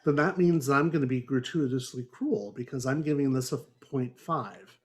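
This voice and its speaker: A man's voice: mid-range voice, normal speed. A man hosting a book review discussion, speaking in a wry, articulate and emphatic way. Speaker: podcast host and moderator; English composition teacher. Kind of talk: book review discussion, partly reading prepared notes.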